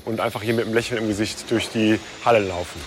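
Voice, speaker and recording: A man's voice: lowish voice, steady tone, quick pace, slight background noise. A man, a project leader, speaking earnestly and hopefully in an interview clip. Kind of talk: interview soundbite.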